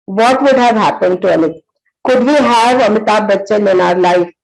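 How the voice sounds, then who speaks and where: An Indian woman in her sixties gives a motivational talk in an earnest, storytelling way. She pauses often and fills gaps with "uh" as she searches for words. Her voice sits at a middling pitch. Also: creaky, a little mellow, low-quality recording. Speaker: psychologist and motivational speaker.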